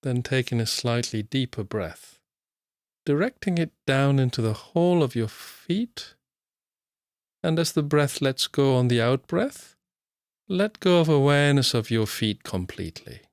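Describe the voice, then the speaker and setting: A man guiding a mindfulness meditation in a soothing, measured manner with long pauses. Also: mid-range voice, animated, no room sound, studio quality. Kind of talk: guided body scan meditation narration.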